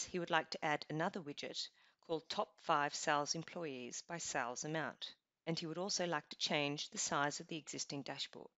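A woman narrating a scripted software walkthrough, explaining each step in an even, instructional way. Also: deep voice, no room sound. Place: voice-over over screen recording. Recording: dull lo-fi voice-over.

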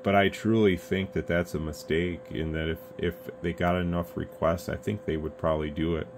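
A man's voice: deep voice, moderate pace. A man talking casually and earnestly, giving rambling opinions as a hobbyist video reviewer. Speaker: YouTube radio reviewer. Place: indoor radio workbench room. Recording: clear steady background room noise.

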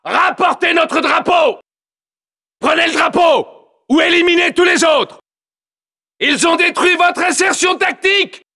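An actor playing a fierce soldier who barks urgent, commanding battlefield announcements in a military game. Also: male voice, extremely high pitch, a little slow, pauses often, heavily acted. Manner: shouting, commanding.

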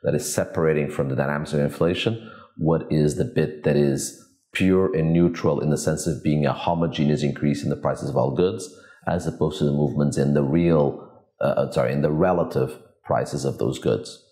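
Deep-voiced man from Portugal in his forties, measured academic, roomy office sound. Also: fairly even intonation, mellow. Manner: measured, academic, thinks aloud with restarts. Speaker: economics professor. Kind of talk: interview answers to camera. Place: office with glass walls, indoors.

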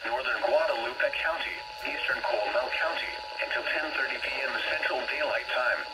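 A man's voice: mid-range voice, moderate pace, roomy sound, distant mic. A synthetic man's voice reading a formal, impersonal scripted emergency weather warning over a weather radio broadcast. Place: played through weather radio and TV in a room, filmed. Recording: noisy radio and TV speaker recording.

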